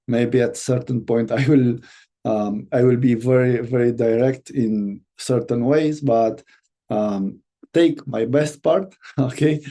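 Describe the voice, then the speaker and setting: A man from Romania with a Romanian accent, teaching an online course as a business coach and speaking in a halting way with many fillers and restarts. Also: mid-range voice, mellow.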